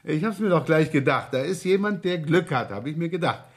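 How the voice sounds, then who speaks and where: An actor playing a wily, genial police detective in a German radio crime drama, teasing and probing in a folksy way. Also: male voice, a little high, animated, a little fast, breathy edge. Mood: jovial, wheedling. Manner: folksy, sly questioning with muttered interjections.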